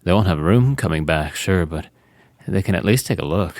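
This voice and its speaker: An actor playing an unhurried male crew organizer in a survivor settlement, laconic and a little hesitant, in an audio drama. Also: deep voice, lively intonation, darkish tone, no echo, clean studio sound. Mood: calm, matter-of-fact.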